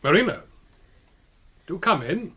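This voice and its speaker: British gentleman amateur sleuth, high animated voice, urbane, arch, drily polite, radio whodunit. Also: unhurried, breathy edge, full character voice. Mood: smooth, reassuring. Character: urbane, wry gentleman amateur investigator, coolly in control. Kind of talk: British radio mystery drama acting.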